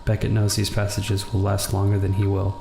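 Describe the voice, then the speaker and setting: A man reading visual novel narration aloud for a gaming video in a subdued, deliberate way, with the odd casual aside. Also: low-pitched, completely flat delivery, creaky. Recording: roomy echo, faint background noise.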